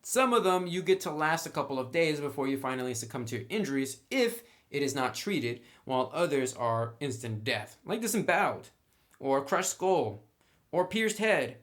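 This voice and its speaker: A man's voice: on the high side, expressive, normal speed, a bit bright. A man presenting a tabletop game review in a casual, conversational way, explaining things step by step straight to the viewer. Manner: conversational, instructional, addresses the viewer directly.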